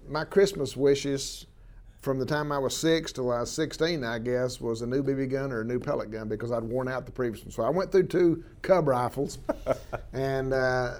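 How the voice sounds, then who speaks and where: A man's voice: mid-range voice, normal speed, a little mellow. A man, a guest telling stories about his life in a rambling way with many fillers. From southwestern Oklahoma. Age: 60s. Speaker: interview guest, wildlife biologist. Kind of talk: relaxed storytelling interview.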